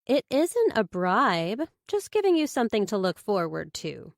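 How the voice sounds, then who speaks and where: A woman voicing a kind middle-aged mother in an audiobook, gentle, reassuring and persuasive. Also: mid-range voice, very animated, a little slow, gravelly, no echo, clean studio sound. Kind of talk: audiobook character dialogue.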